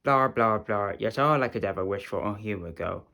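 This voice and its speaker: A man's voice: lowish voice, normal speed, creaky, bright, forceful tone. A man playing a pompous, fussy literary celebrity in a comic stage monologue, sounding dismissive. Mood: sneering, dismissive. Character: pompous, fussy, sneering male literary celebrity reading fan mail.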